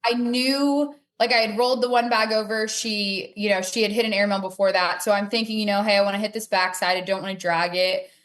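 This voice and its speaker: A woman's voice: medium pitch, fairly even intonation, fairly quick, hardly any pauses, fairly bright tone. A woman talking casually as an interview guest, telling stories and acting out bits of dialogue. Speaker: podcast guest, cornhole player.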